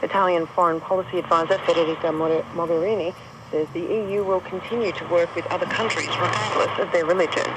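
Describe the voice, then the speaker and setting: A woman's voice: on the low side, fairly even intonation, fast. A woman with an Australian accent reading a scripted radio news bulletin as a news reader. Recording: noisy shortwave radio recorded outdoors. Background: radio static and outdoor ambience.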